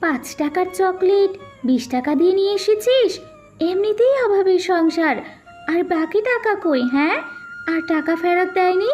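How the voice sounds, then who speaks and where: A woman's voice: very high-pitched, lively intonation, moderate pace, darkish tone, soft background music. A woman voicing a poor, careworn widowed mother jackal with a scolding, exasperated manner in a cartoon. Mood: angry, scolding.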